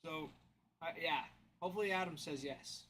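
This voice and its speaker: A man's voice: fairly high pitch, normal speed, sharp tone, a little hiss or hum. A man chatting casually on a livestream, easygoing and chuckling between lines.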